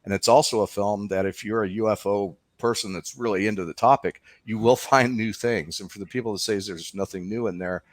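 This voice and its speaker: A man's voice: fairly low pitch, fairly even intonation, fairly quick, hardly any pauses, dry recording. A man talking conversationally as a documentary filmmaker being interviewed.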